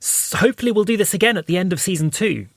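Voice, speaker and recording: Higher-voiced male podcast host, closely recorded. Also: lively intonation, quick pace, creaky, no echo. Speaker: podcast host interviewing a guest. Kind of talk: casual interview chat.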